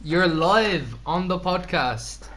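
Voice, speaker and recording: A man's voice: sits high, lively intonation, takes it slowly, pushed and bright, slight background noise. A young man co-hosting a casual podcast, cutting in with short, teasing remarks. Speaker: podcast co-host.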